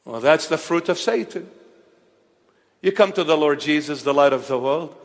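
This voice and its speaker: Male preacher, high voice, fiery sermon, repeats himself, long hall reverb. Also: normal speed. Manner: impassioned, repetitive, swings between low murmurs and shouting. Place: in Australia.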